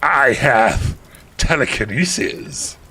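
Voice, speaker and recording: A man voicing a cruel dark wizard villain who gives pompous, drawn-out commands in archaic speech. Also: medium pitch, animated, breathy edge, exaggerated voice. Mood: cruel, menacing.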